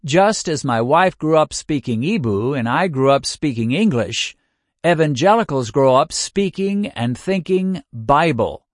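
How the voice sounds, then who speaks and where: An older man giving a scripted first-person reading, speaking in a deliberate, measured cadence and working through long lists. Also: mid-range voice, dry recording, clean studio sound.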